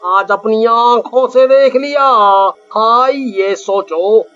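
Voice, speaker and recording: A man's voice: very high-pitched, drawn-out words, a bit bright, heavily acted. A man voicing a greedy, crafty village thief in a cartoon, with an exclamatory delivery. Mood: amazed, greedy. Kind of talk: cartoon voice acting. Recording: muffled lo-fi audio.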